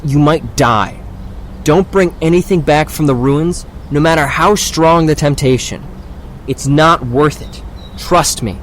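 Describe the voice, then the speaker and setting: Male actor as young trail leader, fairly high voice, commanding, cautious, audiobook drama, faint background noise. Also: close to an everyday voice. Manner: firm, authoritative, lecturing.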